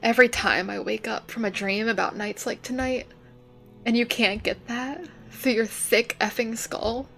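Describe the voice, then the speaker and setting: A woman's voice: fairly high pitch, steady tone, moderate pace, gravelly, a bit bright, soft background music. An actress playing a bitter young woman stuck running her family's hardware store, resentful and close to tears. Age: young adult. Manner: resentful, tearful, uses like as filler. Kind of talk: dramatic dialogue.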